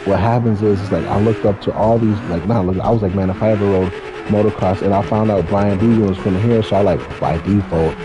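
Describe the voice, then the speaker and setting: A man's voice: on the low side, fairly even intonation, a little fast. A man, telling stories in a casual, rambling, slangy way with some swearing. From California, United States. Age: 30s. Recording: noisy, with car engine sounds behind. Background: racing game car engines.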